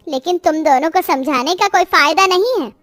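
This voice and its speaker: A woman's voice: extremely high pitch, moderate pace, a bit bright, no echo, studio quality. A woman playing a stern, grumbling older mother-in-law in a moral-story cartoon, reproachful and scolding. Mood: indignant.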